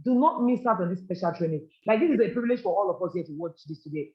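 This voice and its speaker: Guy running a business training, high voice, keeps asking all right?, echoey muffled audio. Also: expressive, quick pace. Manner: earnest and coaching, checks in often with "all right?". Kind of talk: live training presentation to a group. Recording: roomy, muffled lo-fi recording.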